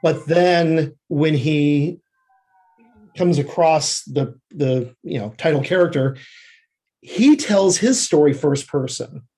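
A man's voice: fairly high pitch, slow, mellow, slightly rough. A man speaking as an interview guest, explaining his writing work in a conversational way. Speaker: guest screenwriter and fiction writer.

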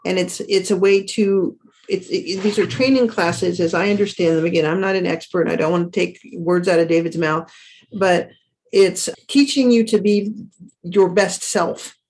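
A woman's voice: lowish voice, normal speed, a little mellow, rough recording. A woman in a casual panel conversation, explaining things and correcting herself as she goes.

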